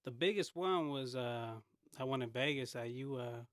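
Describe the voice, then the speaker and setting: California guy on a podcast, medium pitch, chill and blunt, cusses a lot, slangy, dry close sound. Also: moderate pace, dry recording. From San Francisco Bay Area. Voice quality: slangy, heavily profane speech. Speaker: podcast guest, hip-hop artist. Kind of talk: casual chat.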